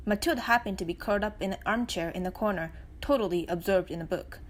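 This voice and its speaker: A woman reading a children's story aloud as an expressive narrator. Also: mid-range voice, quick pace. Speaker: volunteer audiobook reader.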